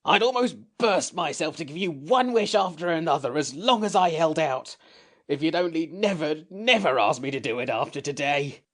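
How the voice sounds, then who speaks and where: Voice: mid-range voice, expressive, moderate pace, voice pushed up, natural voice. Reader voicing a grumpy furry sand-fairy. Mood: plaintive. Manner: petulant, complaining, easily tearful. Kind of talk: storybook reading.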